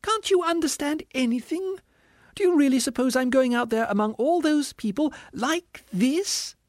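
A shrill, whining female ghost in a storybook, acted out by a man. The voice is pitched very high and swoops about in panicked indignation, vain and painfully self-conscious. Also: lively intonation, moderate pace, darkish tone, dry recording, clean studio sound. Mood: exasperated. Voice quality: squealing, shrill. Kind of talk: audiobook character voice.

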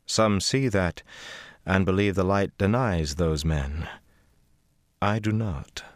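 A man voicing an older woman sorceress who speaks slowly, in a measured and unshakably composed way. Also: fairly low pitch, very animated, no room sound, clean studio sound, pitched above the performer's own voice. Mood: calm.

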